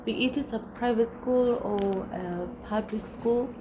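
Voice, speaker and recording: A woman's voice: on the high side, unhurried. A South African woman whose first language is Xhosa, a guest and former teacher, chatting reflectively and repeating her key phrases. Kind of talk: casual chat. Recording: clear background noise.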